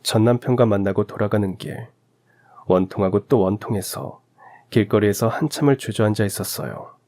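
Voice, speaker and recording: A man's voice: on the low side, normal speed, mellow. A man reading a scripted first-person story as the narrator of a radio drama. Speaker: narrator of audio stories.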